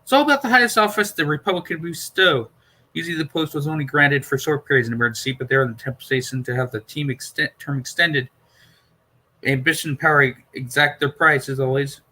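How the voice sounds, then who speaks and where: A man's voice: a little high, a little fast, bright, forceful tone, rough recording. A man voicing a learned, pompous time-traveller in a storybook reading, lecturing in formal, wordy sentences.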